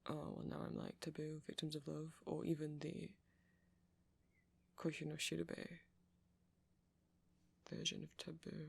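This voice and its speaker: A nerdy, flustered young vampire, played by a man, talks in a soft ASMR roleplay. The voice is full of fry and breath, often close to a whisper. He rambles sweetly and hesitantly, putting himself down as he goes. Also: a little high, monotone, unhurried, long pauses, heavily acted. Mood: absorbed, musing. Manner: hesitant, rambling, self-deprecating, breaks into soft laughs.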